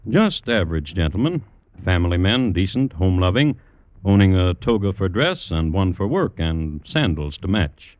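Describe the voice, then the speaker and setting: A man's voice: low-pitched, very animated, normal speed, a little mellow, no echo, muffled recording. A man narrating a dramatized history in old-time radio style, with clipped, theatrical phrases and a wry touch. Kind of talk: dramatized radio history narration in mid-century newsreel manner. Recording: old radio recording with music behind.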